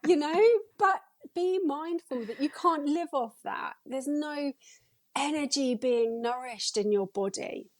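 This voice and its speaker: Encouraging woman with a slightly high voice. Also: a little slow. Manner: enthusiastic, encouraging. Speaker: podcast guest, energy healer. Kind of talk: casual podcast chat.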